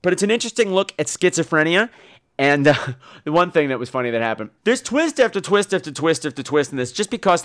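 A man talks casually as a solo podcast host. His voice sits a little high and swings up and down a lot. He rambles in a campy, bawdy, self-mocking manner. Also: fairly bright tone. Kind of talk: casual rambling solo podcast talk about shows and films.

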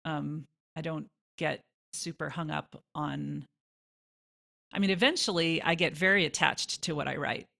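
Female interview guest, low creaky voice, informal and chatty delivery. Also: fairly even intonation, a little slow, sharp tone. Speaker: guest songwriter. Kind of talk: casual interview answers.

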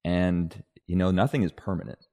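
A man in his twenties, originally from Denmark, talking as an interview guest in a relaxed, rambling way with restarts and "you know"s. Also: sits low, normal speed, creaky. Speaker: guest, agribusiness vice president. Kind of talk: casual interview conversation.

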